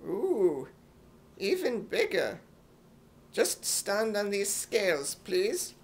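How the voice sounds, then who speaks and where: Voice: mid-range voice, huge pitch swings, drawn-out words, heavily acted. A performer voicing a frail, fussy, miserly old male pawnbroker with an imitation British accent, in a radio comedy. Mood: fussy, doddering.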